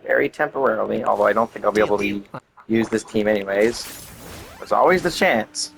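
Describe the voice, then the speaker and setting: A man's voice: on the low side, brisk, vocal fry, slight background noise. A young adult man giving casual gameplay commentary as a gaming streamer. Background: game music.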